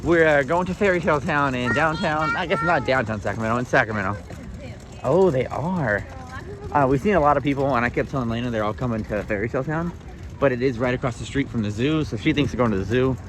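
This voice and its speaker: A man's voice: mid-range voice, quick pace, a bit bright, a little hiss or hum, rough recording. A man chatting casually as a vlogger and parent. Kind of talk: casual vlog chat.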